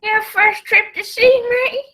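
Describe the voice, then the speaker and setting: A woman's voice: very high voice, steady tone, slow, pushed and bright, heavily acted. A woman playing a folksy old male sea cook in a radio play, drawling. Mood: jovial, coaxing.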